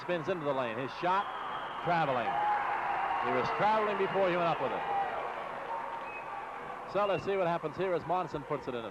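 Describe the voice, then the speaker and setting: An American man doing live radio basketball play-by-play in clipped, rapid-fire short phrases, on an old AM broadcast. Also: fairly high pitch, animated, a loud crowd. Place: basketball game in a gym. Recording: muffled noisy 1960s AM radio broadcast.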